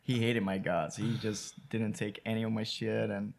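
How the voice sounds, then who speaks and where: A man's voice: on the low side, flat delivery, normal speed, vocal fry. A young man from Ukraine with a Ukrainian accent, chatting candidly and easily as a podcast guest. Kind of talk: casual chat.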